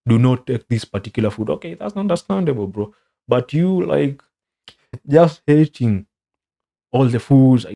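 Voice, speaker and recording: A young man from Kenya with a Kenyan accent, chatting casually as a content creator in English, which is not his first language, and dropping "bro" again and again. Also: medium pitch. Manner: relaxed, says "bro" a lot, rambling. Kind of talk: casual reaction commentary.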